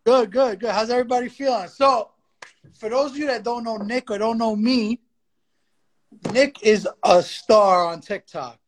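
A man with a notably high voice hosts a weekly podcast over a livestream. He keeps the talk relaxed and friendly as he leads the conversation with his guest. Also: normal speed, slight background noise. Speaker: podcast host. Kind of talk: casual chat.

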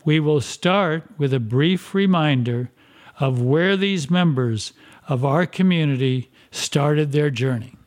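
A man hosts a community club podcast in a slow, measured voice. His pitch sits a little high for a man, and he reads his scripted lines earnestly.

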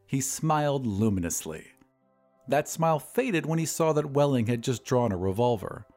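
Animated male storyteller over music. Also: mid-range voice, moderate pace. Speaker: host and narrator. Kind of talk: dramatic scripted story narration.

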